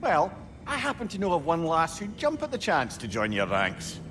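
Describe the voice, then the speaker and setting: A man's voice: a little high, wildly swooping pitch, moderate pace, fairly bright tone, slight background noise. An actor playing a talkative, inquisitive male companion in a video game, wry and lighthearted. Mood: light, wry. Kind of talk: video game character acting. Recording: game music behind the voice.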